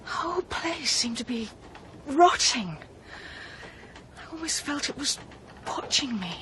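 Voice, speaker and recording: A high-voiced, anxious English bride. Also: female voice, sing-song, a little slow, fairly bright tone, slight background noise, full character voice. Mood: uneasy. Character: anxious, tender, well-spoken English newlywed woman. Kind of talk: radio drama acting.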